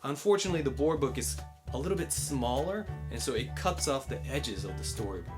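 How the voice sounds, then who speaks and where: A man with an American accent explaining and recommending in a clear, instructive way, using simple wording, as host of a children's learning channel. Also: mid-range voice, expressive, a little fast, over music. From United States. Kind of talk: explanatory book recommendation and picture-book read-aloud.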